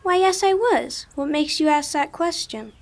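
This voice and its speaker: A woman's voice: high-pitched, expressive, normal speed, no room sound. A woman playing a pious, earnest twelve-year-old saintly girl in a religious audio drama. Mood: gentle, sweet.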